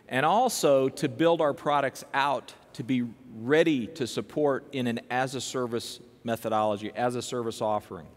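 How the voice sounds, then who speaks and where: An older man, a company president, giving an upbeat keynote speech on a large stage through a headset mic. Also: medium pitch. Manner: expansive, gesturing, upbeat. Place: large dark conference stage. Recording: long hall reverb.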